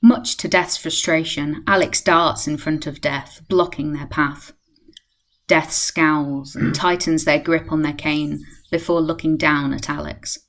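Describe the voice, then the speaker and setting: A woman's voice: low voice, steady tone, a little slow, fairly bright tone. A woman playing the composed narrator of a radio play, reading scripted present-tense storytelling. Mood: measured.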